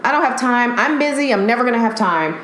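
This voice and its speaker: A woman guest, a TV makeup artist, chatting casually in an animated way and often acting out her own remarks. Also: fairly high pitch, quick pace, a little hiss or hum. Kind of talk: casual chat. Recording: echoey room.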